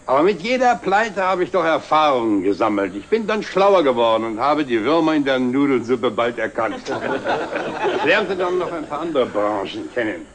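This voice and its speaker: Actor as a proud older director, animated delivery. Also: male voice, mid-range voice, natural voice. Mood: wry, proud. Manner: expansive, deliberate speechmaking with wry chuckles. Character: proud, jovial, self-made older company director. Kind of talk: banquet speech in 1960s German TV drama. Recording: slightly noisy old 1960s TV soundtrack.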